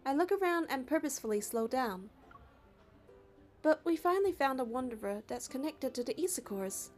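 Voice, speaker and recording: A woman's voice: high-pitched, animated, normal speed, darkish tone. A woman reading scripted story narration and dialogue aloud for a game playthrough. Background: background music.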